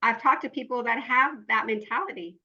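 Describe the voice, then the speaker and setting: A woman's voice: fairly high pitch, fairly quick, bright, forceful tone, highs cut off. A woman hosting a podcast, asking questions in a friendly, encouraging interviewer manner. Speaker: podcast host. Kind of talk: casual interview.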